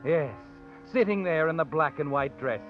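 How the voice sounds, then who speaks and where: An actor playing a sardonic, all-knowing mystery narrator who addresses the guilty in an ominous, knowing way, as in 1940s radio suspense. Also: male voice, fairly high pitch, animated, normal speed, soft background music, close to an everyday voice. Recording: muffled old radio broadcast recording.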